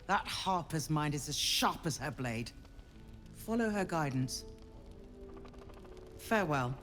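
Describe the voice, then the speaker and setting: An earnest fantasy military counsellor, speaks with stately resolve. His voice is on the high side and moves expressively through each phrase. It rings in a long reverb. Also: lively intonation, takes it slowly, fairly bright tone, soft background music. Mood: grave, resolute. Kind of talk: dramatic video game acting.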